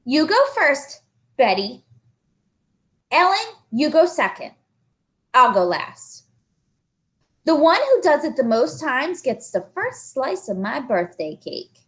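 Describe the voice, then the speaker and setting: A woman puts on a haughty little schoolgirl in a storybook. She orders her friends about bossily and snubs others, in a fairly high, animated voice. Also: takes it slowly, pauses often, heavily acted. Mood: bossy. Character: haughty, dismissive young schoolgirl, bossy with friends.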